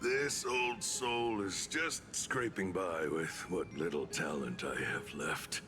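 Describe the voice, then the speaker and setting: Game role: wretched old soul, low, very slow, swooping, musing, music behind. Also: male voice. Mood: self-deprecating. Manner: ponderous, old-fashioned, musing. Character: frail, wretched old creature, male, wistful and self-deprecating. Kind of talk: video game voice acting.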